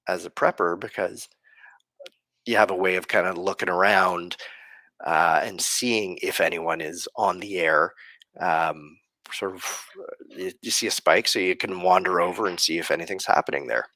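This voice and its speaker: A man hosting a podcast live stream, explaining things casually in a halting, thoughtful way with frequent pauses. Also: deep voice, bright, forceful tone, no echo. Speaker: podcast host.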